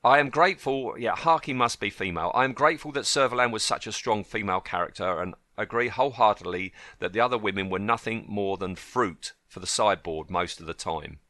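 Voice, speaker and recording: Bright-voiced man co-hosting a podcast. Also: lowish voice, a little fast, hardly any pauses, dry recording, studio quality. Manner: chatty, often asks his co-host for agreement. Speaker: podcast co-host. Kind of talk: casual podcast chat, reading a listener letter aloud.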